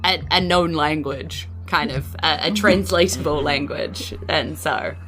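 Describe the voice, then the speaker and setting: A woman's voice: sits low, measured pace, sharp tone, soft background music. A woman with a New Zealand accent improvising narration as the game master of a tabletop game.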